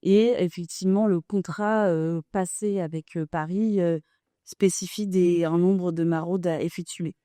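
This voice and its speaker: A woman from a charity speaks on a panel, explaining in a measured way with the odd filler. Her voice is mellow and smooth, recorded dry. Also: fairly low pitch, steady tone, no room sound. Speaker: financial manager of a charity. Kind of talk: panel discussion.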